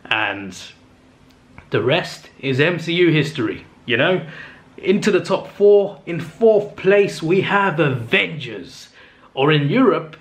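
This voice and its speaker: A young man talks straight to camera in a loose, excitable ramble, stumbling over words and correcting himself as he goes. His voice sits at an ordinary middle pitch and sounds slightly worn, as if he is losing it. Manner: chatty and enthusiastic, with frequent fillers and self-corrections. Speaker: movie review channel host. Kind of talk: casual ranking monologue to camera.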